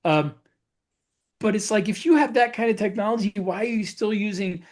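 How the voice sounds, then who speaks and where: Man co-host quick to question and laugh. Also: high-pitched, darkish tone. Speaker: podcast co-host, author. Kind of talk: casual podcast chat.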